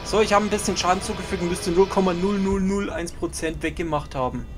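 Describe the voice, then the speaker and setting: A man's voice: high-pitched, quick pace, music in the background. A young man playing a video game with a friend, making dry, sarcastic jokes. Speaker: co-op player. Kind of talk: casual co-op gaming chat.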